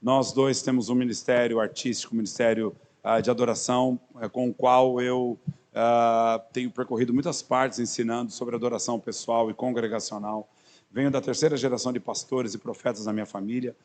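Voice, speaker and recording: A man's voice: mid-range voice, level tone, fairly quick, darkish tone. A man in his fifties from São Paulo with a São Paulo accent, a pastor introducing himself to a congregation in a chatty, joking way with many fillers and restarts. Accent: São Paulo Portuguese. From Brazil. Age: 50s. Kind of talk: sermon introduction, speaking to a congregation.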